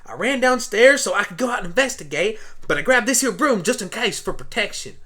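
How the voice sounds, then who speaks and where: A woman's voice: medium pitch, lively intonation, a little fast, a bit bright, slightly rough. A woman voicing a plucky, friendly young farm pony girl with a folksy, earnest and feisty manner, in an amateur radio play. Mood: excited, earnest.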